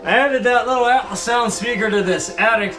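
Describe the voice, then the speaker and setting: A man's voice: very high-pitched, brisk, over music. A young man hosting a casual hobby show-and-tell, rambling informally as he addresses his viewers. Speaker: hobbyist video host.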